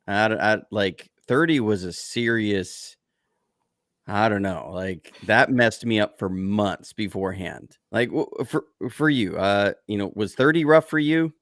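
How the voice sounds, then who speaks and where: A man's voice: lowish voice, measured pace, fairly bright tone, dry recording, clean studio sound. A man about to turn forty, co-hosting a podcast and chatting casually in a rambling, reflective way with many restarts. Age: 30s. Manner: rambling, self-correcting, reflective. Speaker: podcast co-host. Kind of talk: casual chat.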